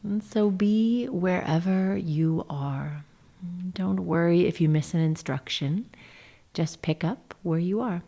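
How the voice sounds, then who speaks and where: Mellow, low-pitched woman guiding meditation. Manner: soothing, gentle, measured, often trailing into breathy whispers. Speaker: yoga nidra guide, session host. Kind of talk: guided meditation narration.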